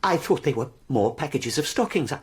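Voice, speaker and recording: A nervous down on his luck ex soldier, stammery and plaintive, really husky voice, pitch all over, radio play. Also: expressive, a little fast. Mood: agitated, desperate. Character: broken, nervous war veteran, down on his luck.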